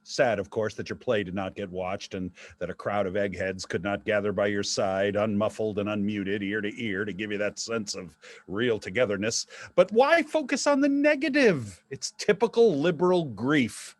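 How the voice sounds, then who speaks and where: A man acting a sardonic old-school father's ghost in a stage play. He needles and scolds with a dry, mocking edge, pitch swinging widely, in a close, dry sound. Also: big pitch swings, normal speed, hardly any pauses, no room sound. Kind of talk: theatrical staged play reading.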